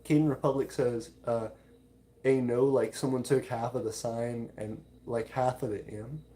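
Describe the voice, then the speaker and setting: A man hosting a livestream in a casual, informal chat style, talking haltingly as he reads out and answers viewer comments. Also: mid-range voice, steady tone, dark tone. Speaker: livestream host.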